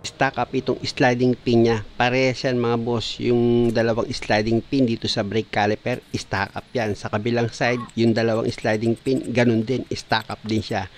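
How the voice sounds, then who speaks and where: A man's voice: medium pitch, flat delivery, moderate pace, slight background noise. A man, a mechanic narrating a do-it-yourself brake repair and explaining each step to viewers. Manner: explanatory, calls viewers "mga boss". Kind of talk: DIY repair tutorial voice-over.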